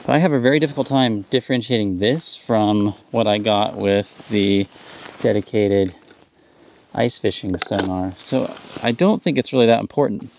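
A man with a low, gravelly voice talks through his ice fishing setup outdoors on a frozen lake. He explains things in a calm, practical way and gets upbeat when a fish bites. He is picked up by a camera standing a few metres away. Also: dry recording. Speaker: fishing channel host. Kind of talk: casual how-to demonstration.

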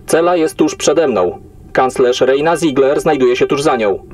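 An actor playing an obedient military computer assistant in a sci-fi audio drama, speaking in formal, clipped, emotionless reports. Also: male voice, mid-range voice, lively intonation, measured pace, darkish tone. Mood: impassive.

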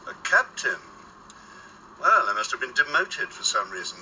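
Curt sarcastic boss officer in a radio play, cool and callous, bright edge, distant on a call. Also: male voice, medium pitch, sing-song, normal speed, slight background noise. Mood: sardonic, impatient. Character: curt, sardonic male superior officer, coolly callous. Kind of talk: naturalistic radio drama acting.

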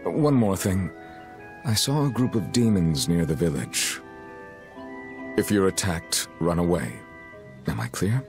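A man voicing a stern, calm swordsman mentor in a video game, measured and instructive. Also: low voice. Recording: music under the dialogue.

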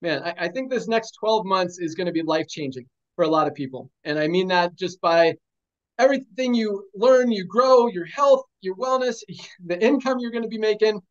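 A high-pitched man in his forties speaks over a webcam on a video call, pumping up his team with repeated rallying cries. His audio is a little dull and lo-fi. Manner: rallying, repetitive hype phrases. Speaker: host of a sales team call. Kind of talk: energetic motivational call. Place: home room, video call.

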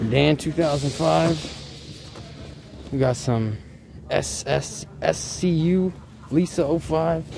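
A man's voice: fairly high pitch, dark tone. A man giving casual, off-the-cuff vlog commentary in short, slangy phrases as he sorts through things. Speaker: vlogger, vintage clothing reseller. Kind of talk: casual off-the-cuff commentary while sorting clothes. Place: large indoor thrift warehouse. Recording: clear background noise of a warehouse.

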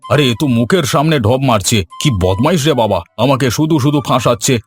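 A man's voice: medium pitch, animated, brisk, close to an everyday voice. Man playing a grumbling village landlord, music behind. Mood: defensive. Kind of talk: Bengali cartoon story voice acting.

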